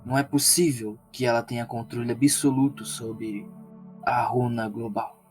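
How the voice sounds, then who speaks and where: A man plays a calm, sage-like adventurer in a tabletop fantasy story. The voice is medium in pitch, grave and formal, with careful measured phrasing. Faint music plays behind it. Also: lightly acted.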